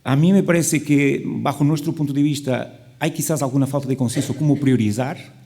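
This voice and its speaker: A Portuguese man speaking Spanish with a Portuguese accent as a panelist, halting with many restarts. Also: mid-range voice, mellow. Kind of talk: panel discussion.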